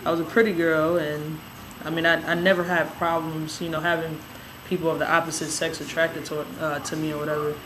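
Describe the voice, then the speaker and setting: A young woman with a raspy, hoarse voice telling a personal story in a relaxed, rambling way with many fillers. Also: sits low, brisk, background noise. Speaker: vlogger. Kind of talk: casual personal storytelling to camera.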